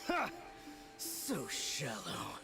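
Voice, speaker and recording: Crazed, gravelly, halting possessed man. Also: medium pitch, wildly swooping pitch, loud music behind the voice, exaggerated voice. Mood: manic, boastful. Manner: halting, groaning, wildly uneven. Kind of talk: scripted video game voice acting.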